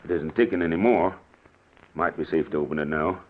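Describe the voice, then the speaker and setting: An actor playing a brash bomb squad policeman who takes charge briskly in an old-time radio drama. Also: rumbling low. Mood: cautious, puzzled. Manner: brisk, commanding. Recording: tinny, very noisy old radio recording.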